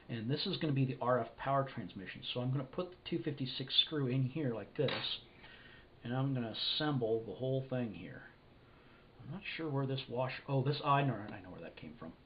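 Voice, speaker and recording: Guy w a cold narrating his build at the bench, kinda sniffly, stops and restarts a lot, muffled camera mic sound. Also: medium pitch. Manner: thinking aloud, halting, self-correcting. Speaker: hobbyist electronics builder. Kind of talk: casual hands-on assembly walkthrough. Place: indoor workshop bench. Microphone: camera mic above workbench. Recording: dull lo-fi camera audio with faint hiss.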